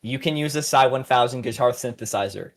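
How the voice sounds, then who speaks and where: A man with a mid-pitched voice talks as the host of a podcast. His commentary is casual and informal, with an enthusiastic way of presenting competitors. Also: a little fast.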